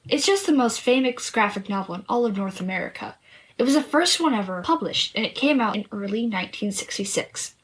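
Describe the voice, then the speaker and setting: A woman playing a clever, confident young girl in a story podcast, explaining and teasing with a slightly husky voice. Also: medium pitch, lively intonation, brisk, low-quality recording. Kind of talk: scripted story podcast dialogue.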